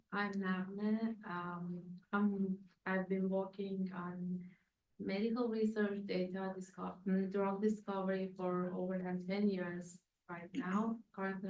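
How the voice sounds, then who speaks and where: Slow, flat-voiced woman in a roomy space. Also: mid-range voice, muffled recording. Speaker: graduate student, medical researcher. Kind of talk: self-introduction in a class. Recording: roomy, distant.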